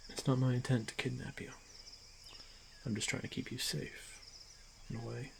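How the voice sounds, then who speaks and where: Man playing a gravelly, hushed orc warrior. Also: medium pitch, little pitch movement. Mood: apologetic, gentle. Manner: hushed, halting, formal speech with frequent pauses. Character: stoic, honor-bound male orc warrior, gently protective. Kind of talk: fantasy ASMR roleplay. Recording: noisy track with night insect ambience. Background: crickets and insect ambience.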